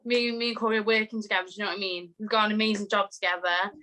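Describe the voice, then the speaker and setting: Bright-voiced young woman. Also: medium pitch, steady tone, rapid-fire, poor audio. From Wales. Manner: chatty, laughs often, emphatic. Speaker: guest. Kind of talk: casual chat.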